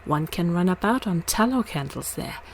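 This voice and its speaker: A woman's voice: on the low side, expressive, moderate pace, gravelly. A woman voicing a curious, eager little mouse in a storybook reading, with background noise. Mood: curious, chatty. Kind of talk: storybook character voice.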